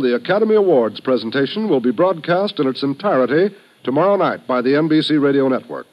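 A fairly high-voiced American man reads as a mid-century radio announcer. His delivery is grand and emphatic. The sound is the dull, narrow tone of an old broadcast. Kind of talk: 1950s radio announcing, scripted.

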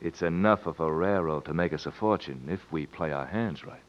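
An actor playing a bossy, scheming swindler in an old radio western, with a superior, measured, commanding manner. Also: male voice, sits low, a little hiss or hum, natural voice. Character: smooth, scheming, bossy swindler gang leader. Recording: dull vintage radio recording.

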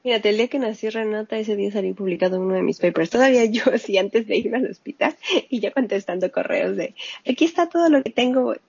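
A woman's voice: medium pitch, fast talker, vocal fry, breathy edge, dry recording. A woman, a scientist and mother, telling stories in casual conversation and quoting herself. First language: Spanish. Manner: animated storyteller, quotes herself. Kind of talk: casual interview chat. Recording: muffled lo-fi dry audio.

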